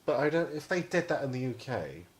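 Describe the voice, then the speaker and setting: A man's voice: medium pitch, big pitch swings, brisk. Rambling man in his twenties. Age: 20s. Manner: rambling, swears casually. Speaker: podcast co-host. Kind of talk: casual chat.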